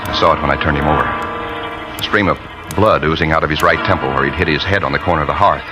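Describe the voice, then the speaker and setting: A man's voice: a bass voice, fast. An actor playing a guilty, tense man on the run in a hard-boiled 1940s radio thriller, faltering when nervous. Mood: tense, haunted. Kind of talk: 1940s radio thriller acting, first-person narration. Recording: vintage radio with loud dramatic music.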